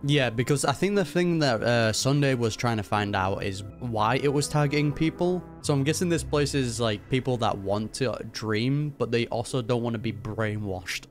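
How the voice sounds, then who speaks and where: Low-pitched man giving casual game reactions. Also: normal speed, hardly any pauses, soft background music. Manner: slangy, off-the-cuff remarks. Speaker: gaming reaction host.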